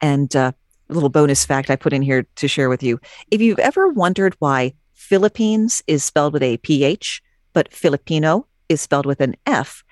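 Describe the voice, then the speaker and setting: A woman with a notably low voice shares facts from her notes in a relaxed podcast chat. She drops dry, jokey asides with a deadpan edge. The sound is close and completely dry. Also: normal speed, no room sound. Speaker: podcast guest.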